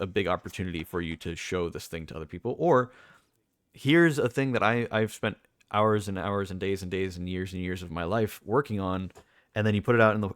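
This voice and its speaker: A young man with a deep voice, talking casually and rambling with lots of fillers and restarts as a streamer. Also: no room sound, studio quality. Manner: rambling, self-correcting, many fillers.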